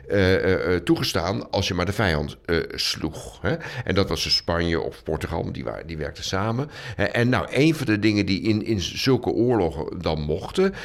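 A man speaking as an interviewed historian, explaining conversationally with frequent rephrasings and little tag questions. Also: low voice.

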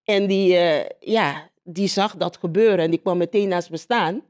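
A woman giving a spontaneous personal account in an interview, haltingly, repeating phrases as she searches for words. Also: on the low side, darkish tone. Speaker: interviewee, local politician.

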